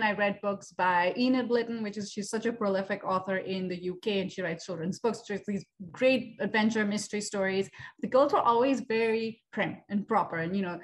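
A woman in her thirties from India, an author speaking casually on a panel over a video call, animated and full of fillers. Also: fairly high pitch. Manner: animated, gestures with hands, many fillers. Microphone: video call webcam.

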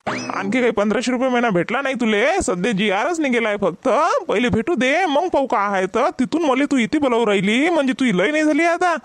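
A man's voice: extremely high pitch, expressive, fairly quick. A man voicing an exasperated village husband in a comedy cartoon, complaining sarcastically in a Vidarbha Marathi accent. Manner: complaining, sarcastic rustic banter. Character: exasperated, grumbling village husband with long-suffering temper.

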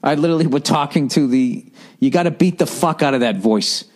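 A middle-aged man hosting a podcast, bluntly giving advice with lots of swearing and mocking put-on voices. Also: a little fast. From United States. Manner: profane, blunt, mocking voices in a higher pitch. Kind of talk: casual advice rant reading listener letters.

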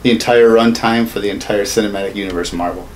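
A man's voice: lowish voice, fairly even intonation, fast talker. A man in his thirties chatting casually, jokey and profane, wandering off topic as a co-host. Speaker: podcast co-host. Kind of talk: casual joking chat. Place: small home studio room, indoors. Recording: noisy room ambience.

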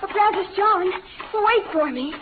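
An actress playing a spirited, romantic young frontier bride in an old radio western, speaking with earnest melodrama. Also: female voice, very high voice, lively intonation, measured pace. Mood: eager, grateful. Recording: tinny, noisy old radio recording.

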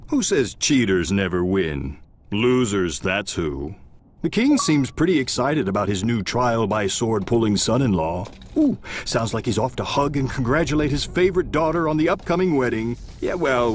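An actor playing a wry, mocking storybook narrator who gives droll, theatrical asides in a scripted video game. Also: male voice, medium pitch, big pitch swings, moderate pace, a little hiss or hum. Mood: dryly amused.

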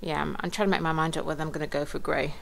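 A woman giving a casual walking tour of her shop and café, filmed on a handheld phone. She chats in a relaxed way and laughs now and then. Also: sits low, very fast, gravelly. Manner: chatty and relaxed, with small laughs. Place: small shop and café, indoors and on the pavement outside. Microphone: handheld phone camera.

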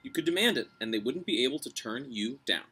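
A man voicing a brave, cunning young girl, coaxing and deferential as she flatters. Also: mid-range voice, slightly rough. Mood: earnest persuasion. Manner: coaxing, deferential, quick-thinking.

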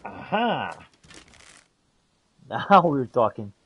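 A man's voice: mid-range voice, very animated, very slow, slightly rough, highs cut off. A man doing in-character gameplay commentary, exclaiming and talking to himself and his game companions. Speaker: gamer playing in character.

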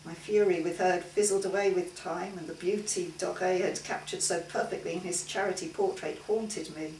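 A woman's voice: lowish voice, fairly even intonation, moderate pace, darkish tone. A middle-aged woman reading a short story aloud as scripted first-person narration in a living room. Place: indoors in a living room at a home gathering. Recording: roomy, distant mic, faint hiss.

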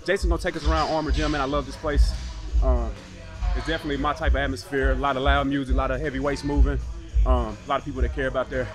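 Fast-talking man with music behind. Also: medium pitch, fairly even intonation. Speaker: vlog host. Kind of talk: casual vlog chat. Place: large open gym, indoors. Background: gym music.

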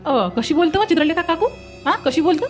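A woman's voice: very high voice, animated, quick pace, faint music behind. A woman voicing a blunt, indignant village neighbour in a comic cartoon, scolding in a Varhadi Marathi accent. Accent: Varhadi Marathi from Vidarbha.

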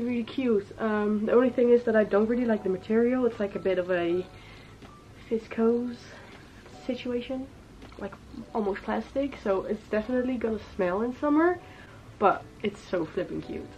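A woman's voice: medium pitch, darkish tone, highs cut off. A young woman in her twenties with a cold that makes her sound a bit nasal, chatting casually and giggling as she shows clothes in her bedroom. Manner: chatty, giggly, self-correcting. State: has a cold. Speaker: fashion vlogger. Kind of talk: casual try-on haul chat. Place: bedroom, sitting on a bed. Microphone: camera in front, upper body framing.

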